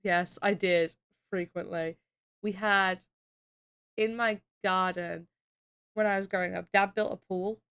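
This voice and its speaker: A woman's voice: medium pitch, fairly even intonation, a little slow, long pauses, fairly bright tone, clear, resonant tone. A young woman from the UK chatting casually on a stream, reading chat aloud, laughing often and swearing. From United Kingdom.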